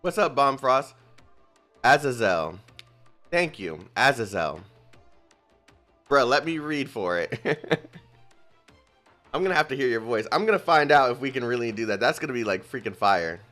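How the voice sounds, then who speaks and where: Excitable young male streamer. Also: medium pitch, normal speed, long pauses, faint music behind. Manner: excitable, rambling, talks to chat. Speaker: game streamer. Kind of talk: casual livestream commentary.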